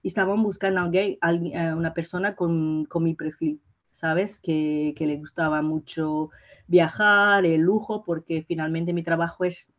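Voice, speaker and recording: A woman's voice: on the low side, steady tone, moderate pace, muffled recording. A native Portuguese woman with a Portuguese accent giving conversational interview answers as a podcast guest, effusive and searching for words. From Portugal, born in Mozambique. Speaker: podcast guest, hotel PR and social media director.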